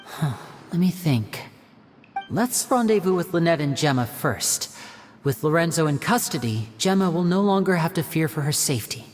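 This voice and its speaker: A man's voice: a little high, expressive, normal speed, background noise, lightly acted. A man playing a sly young magician. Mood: probing. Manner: smooth, probing. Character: young male stage magician, sly and composed. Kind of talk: video game voice acting. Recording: game audio with music behind.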